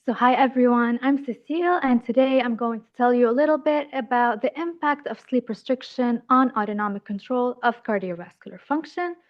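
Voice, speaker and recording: A woman's voice: fairly high pitch, a little fast, hardly any pauses, lo-fi sound. A young woman from Lebanon gives a friendly, enthusiastic seminar talk, telling the story of her own studies. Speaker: graduate student presenter. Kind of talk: seminar presentation.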